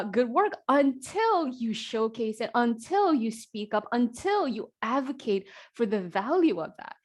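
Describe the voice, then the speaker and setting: A woman in her forties with a voice pitched a little high hosts an online coaching call. She talks in a lively, casual way, full of "like" and "uh", into a computer microphone. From South Korea, raised in New Jersey. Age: 40s. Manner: energetic, conversational, frequent fillers and likes. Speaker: executive coach, host.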